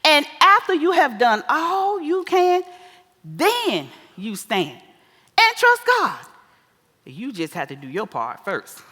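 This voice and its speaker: A theater hall rings around a bawdy older mama played by a woman, high-voiced and bright. She takes her time, preaching sassy advice to her grown daughter with exclamations and broad stage-comedy delivery. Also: wildly swooping pitch, takes it slowly, exaggerated voice. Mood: emphatic, teasing. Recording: echoey theater hall with long reverb.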